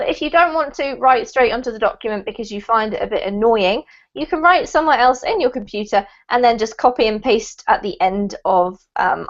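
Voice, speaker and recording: A young woman teaching an online English class, upbeat and encouraging, with frequent "um"s. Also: fairly high pitch. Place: indoor room, video call. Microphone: headset headphones on a video call. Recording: dull lo-fi video call audio.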